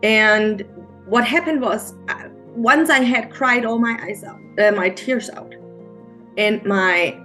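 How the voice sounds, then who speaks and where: A middle-aged woman with a German accent, a mindset coach, telling her story as an interview guest in a halting, earnest way with many restarts. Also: a little high, pushed and bright, faint music behind. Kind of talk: emotional personal storytelling interview.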